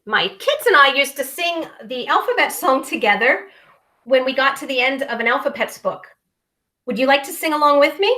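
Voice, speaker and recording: Bright, high-pitched woman reading children's stories. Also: expressive. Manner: dramatic, deliberate enunciation with emphasized sound words. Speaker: storyteller reading a picture book to children. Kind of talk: expressive children's story reading.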